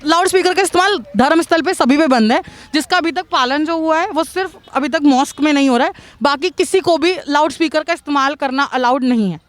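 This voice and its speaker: A woman's voice: sits high, fairly quick, few pauses, dry recording. A woman working as a street reporter, putting questions to passers-by outdoors. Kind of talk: vox pop interviewing with leading questions.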